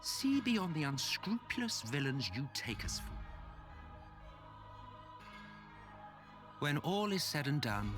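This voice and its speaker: Man playing a theatrical, sly immortal villain, swooping pitch. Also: lightly acted. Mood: grand, persuasive. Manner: pompous, sardonic, drawling delivery. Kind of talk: dramatic video game voice acting. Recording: long hall reverb, music behind.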